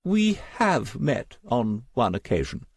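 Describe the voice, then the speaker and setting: A man's voice: mid-range voice, wildly swooping pitch, takes it slowly, breathy edge, dry recording, voice pushed up, heavily acted. A man voicing a pompous, genteel female gallery owner in an audiobook, proud and affected in manner. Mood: proud, confiding. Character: genteel, self-important middle-aged female gallery owner, gushing sales talk. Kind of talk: audiobook character voice.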